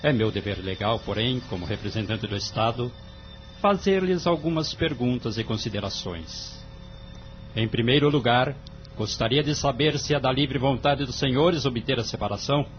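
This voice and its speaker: An actor portrays a solemn, fair-minded judge in an audio drama. He questions formally in a medium-pitched male voice. The sound is a little dull, with faint music underneath. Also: lively intonation, close to an everyday voice. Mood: formal, probing. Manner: formal, measured courtroom phrasing.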